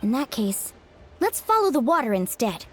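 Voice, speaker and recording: A woman voicing a bubbly young woman surfer who leads her team in a video game with a peppy, shouty delivery. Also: on the high side, big pitch swings, normal speed, faint music behind. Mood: eager. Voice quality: peppy, shouty battle cries. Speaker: game character.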